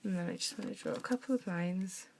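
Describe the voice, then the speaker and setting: A young woman with a croaky voice from a cough, chatting through a henna craft demonstration at her desk at home. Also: mid-range voice, fast talker, slight background noise. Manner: chatty, talks while drawing, drops to soft mutters. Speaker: craft tutorial maker.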